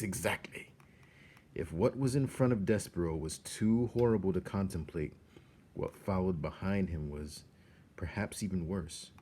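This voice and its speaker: A man reading a children's novel aloud as dramatic narration, deliberate and suspenseful, with pauses for effect. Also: deep voice, warm tone, breathy edge. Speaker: storybook reader.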